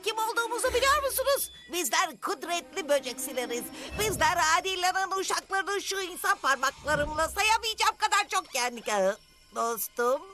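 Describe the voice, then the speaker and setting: A woman's voice: extremely high pitch, sing-song, normal speed, music in the background. A woman voicing a smug, boastful cartoon insect who leads a gang of con artists. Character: cocky con-artist insect gang leader, impostor hero. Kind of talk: animated cartoon dub.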